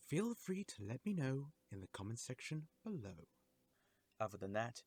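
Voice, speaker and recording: A man's voice: mid-range voice, dry recording. A man delivers scripted commentary to viewers as a video host, leaning dramatically on key words. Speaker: YouTube reviewer and host.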